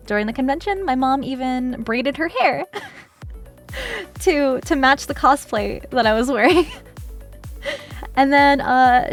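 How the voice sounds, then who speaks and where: High-voiced, chatty woman streamer. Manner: chatty and giggly, lots of fillers like "like" and "um". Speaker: streamer, cosplayer. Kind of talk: casual live-stream chat while crafting.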